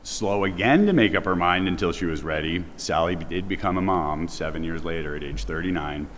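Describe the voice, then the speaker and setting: A man with a very low voice delivers a prepared eulogy. He reads to a gathering in a large church, with long reverb around his words. A faint layer of background noise sits under the recording. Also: a little fast, a bit bright. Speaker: eulogist at a memorial. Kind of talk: prepared eulogy read aloud.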